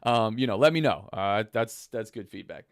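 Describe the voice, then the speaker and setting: Raspy, hoarse man, low voice. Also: expressive, normal speed, dry recording, clean studio sound. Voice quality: raspy smoker's voice, partly lost. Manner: informal, buddy-like address to viewers. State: hoarse, voice half gone. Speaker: host of a live trading stream. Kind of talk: casual livestream chat, explaining to viewers.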